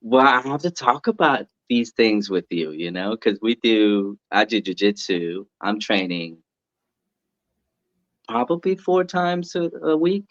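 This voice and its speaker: A man's voice: lowish voice, very animated, a little slow, dry recording, lo-fi sound. A man from the US East Coast, a podcast guest, chatting casually and laughing easily with many fillers. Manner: laid-back, laughs easily, lots of fillers. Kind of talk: casual interview chat.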